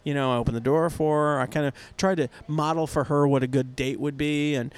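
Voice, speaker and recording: A man's voice: fairly high pitch, steady tone, a little fast, clear, resonant tone, no room sound. A middle-aged man from North America co-hosting a casual podcast chat, playful and jokey, often laughing and singing bits. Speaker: podcast co-host.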